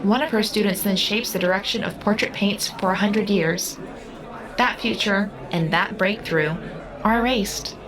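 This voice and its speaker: A woman's voice: mid-range voice, normal speed, close to an everyday voice. A woman acting in an audio drama as a solemn, literal-minded girl who speaks formally and precisely. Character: solemn, literal-minded all-seeing girl under eighteen. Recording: busy club crowd and music behind.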